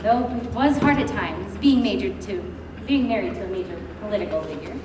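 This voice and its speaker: An actress on stage playing the gentle, matter-of-fact wife of a doomed revolutionary, earnest and gently correcting. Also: female voice, medium pitch, a little fast, natural voice. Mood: tender, regretful. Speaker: stage actor. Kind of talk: comic stage acting. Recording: echoey hall from far off, noisy.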